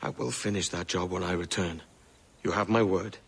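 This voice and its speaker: An actor playing a world-weary, earnest pirate captain in a cinematic video game. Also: male voice, deep voice, moderate pace, vocal fry, husky, exaggerated voice. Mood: sober.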